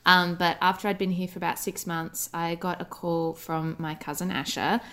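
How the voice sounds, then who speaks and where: A woman's voice: lowish voice, level tone, normal speed, bright, forceful tone. A woman chatting as a radio guest in an easy, rambling way. She often mocks herself and breaks into laughter. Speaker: guest, comedy writer and performer. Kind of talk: casual chat.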